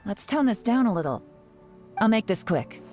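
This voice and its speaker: An actress voicing a shrewd, composed lady spymaster in a video game, speaking with measured authority. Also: medium pitch, big pitch swings, darkish tone, soft background music, natural voice. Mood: cool, commanding. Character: commanding, shrewd lady spymaster, calm and sly.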